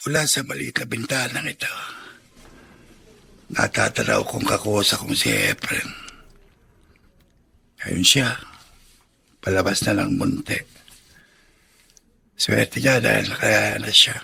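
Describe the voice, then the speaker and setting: Gravelly, wistful prisoner. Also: male voice, fairly low pitch, sing-song, slow, pauses often, pushed and bright, heavily acted. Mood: wistful, worried. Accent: Filipino. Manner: theatrical, emotive. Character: wistful, compassionate male prisoner watching from cell. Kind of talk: Filipino radio drama monologue.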